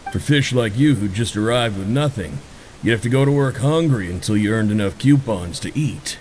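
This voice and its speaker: A man's voice: fairly low pitch, darkish tone, slight background noise, close to an everyday voice, poor audio. An actor playing a plainspoken veteran prison worker in a video game, frank and folksy in the way he talks.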